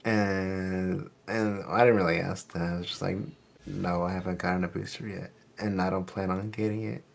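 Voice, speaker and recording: A woman's voice: very deep voice, fairly even intonation, moderate pace, gravelly. A woman chatting casually as a companion on a game livestream. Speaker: streamer's chat companion.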